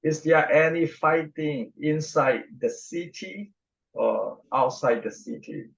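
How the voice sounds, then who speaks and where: A man hosting a podcast, asking questions in slow, hesitant phrasing with frequent fillers. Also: a little high, gravelly. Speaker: podcast host. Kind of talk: interview questions. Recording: dull lo-fi audio.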